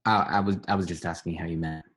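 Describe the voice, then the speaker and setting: A man's voice: sits low, steady tone, fast, fairly bright tone, slightly rough. A man acting a sardonic, heartbroken young guy in a table read, in a blunt, teasing, conversational way.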